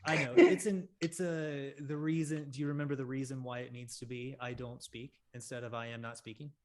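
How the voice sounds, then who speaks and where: Man teaching English, slow and deliberate. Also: mid-range voice, fairly even intonation, darkish tone. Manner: slow and deliberate when modelling correct phrases. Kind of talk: conversation lesson with corrections.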